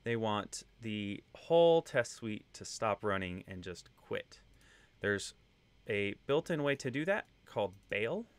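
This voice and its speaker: Low-voiced man with a faint Texan accent. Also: slow pace, clean tone, dry recording. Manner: relaxed, conversational explaining with fillers. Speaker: web testing instructor. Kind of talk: screen-share coding tutorial.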